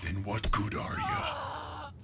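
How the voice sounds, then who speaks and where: A man's voice: very high voice, wildly swooping pitch, slow, heavy background noise, exaggerated voice. A man voicing a brutish, gloating ork pirate warboss in a video game, with a growling Cockney accent and a sneering, theatrical delivery. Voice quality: gravelly, growling. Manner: sneering, theatrical, broken grammar. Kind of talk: video game cutscene acting.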